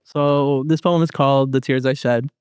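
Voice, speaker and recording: A young man, a student poet, reading a poem in an earnest, measured way in short phrases. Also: mid-range voice, fairly even intonation, darkish tone, clean tone, a faint crowd. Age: young adult. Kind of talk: poetry reading.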